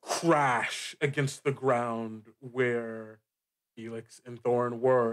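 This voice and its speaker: A man improvising narration as a game master, casual and halting, with lots of filler words. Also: mid-range voice. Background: music bed.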